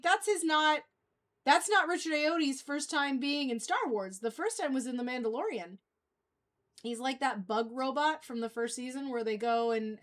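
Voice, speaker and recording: A high-pitched woman talks as a livestream host in a loose, conversational way. She rambles haltingly, letting thoughts trail off before picking them up again. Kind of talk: casual fan chat.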